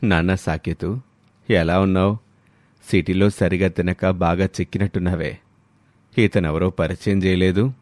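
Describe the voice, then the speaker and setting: Man playing deep-voiced kindly village father. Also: fairly even intonation, measured pace, long pauses, darkish tone, no room sound. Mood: affectionate, welcoming. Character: kindly village father, middle-aged, welcoming and explanatory. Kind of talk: voice acting in a moral story.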